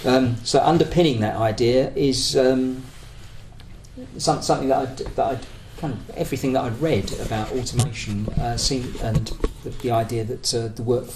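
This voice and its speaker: A man's voice: mid-range voice, fairly even intonation, normal speed, a little mellow. A British man giving a political talk as a trade union speaker, in a hesitant, discursive way, searching for his words as he builds his argument. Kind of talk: conference talk, semi-prepared political argument. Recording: echoey room with faint background noise.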